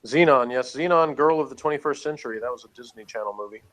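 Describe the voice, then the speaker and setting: Male reviewer, medium pitch, informal conversational delivery, prone to chuckling. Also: fast talker, a bit bright, low-quality recording. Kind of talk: casual conversational review chat.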